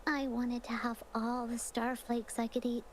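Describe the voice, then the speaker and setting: A woman's voice: a little high, level tone, unhurried, a little hiss or hum, lightly acted. A woman voicing a wistful, earnest young child in a video game, speaking hesitantly. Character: wistful young child missing a lost ranger mother.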